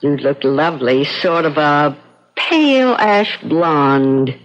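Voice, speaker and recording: An actress playing an excitable, confiding middle-aged schoolteacher in a 1970s radio drama. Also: female voice, low voice, slow. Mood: confiding, soft. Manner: eager, expressive. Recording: dull old radio broadcast.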